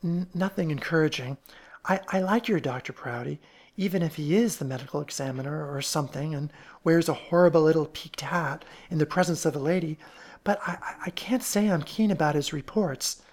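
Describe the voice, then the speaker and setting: A man's voice: on the high side, fairly quick, darkish tone. A man voicing an earnest, easily flustered young woman assistant in an audiobook, hesitant, polite and apologetic. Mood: disappointed.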